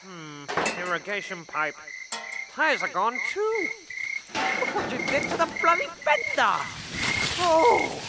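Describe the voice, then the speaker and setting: Loud crickets and noise surround a scolding grumbler acted by a woman in an audio drama. The voice is high and swoops widely, sharp, sarcastic and scornful. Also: sing-song, takes it slowly, pauses often. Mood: annoyed. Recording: loud outdoor insect noise.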